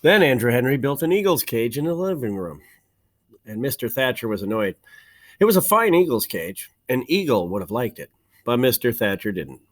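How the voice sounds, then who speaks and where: Man reading a storybook with very lively intonation. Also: mid-range voice, normal speed. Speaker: teacher reading a storybook aloud. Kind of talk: expressive children's storybook narration.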